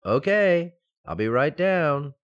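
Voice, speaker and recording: A man's voice: on the high side, very animated, slow, highs cut off. A man playing a mild-mannered, distracted husband in an old-time radio sitcom, hesitant and absent-minded. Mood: breezy, affectionate.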